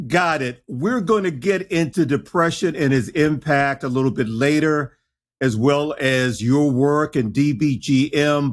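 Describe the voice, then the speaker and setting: Playful male podcast host. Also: on the high side. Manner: playful, teasing interviewer. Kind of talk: casual interview chat.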